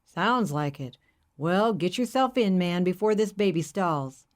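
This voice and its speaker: A woman's voice: on the low side, expressive, measured pace, darkish tone. A woman voicing a genial middle-aged male hippie truck driver who speaks in a folksy, easygoing drawl.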